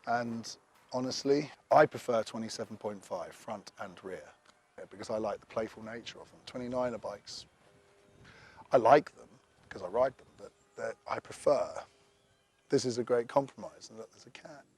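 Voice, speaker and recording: Hoarse-voiced man reviewing a bike. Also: mid-range voice, normal speed, darkish tone. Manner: chatty, rambling, with asides. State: losing his voice. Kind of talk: casual product review to camera.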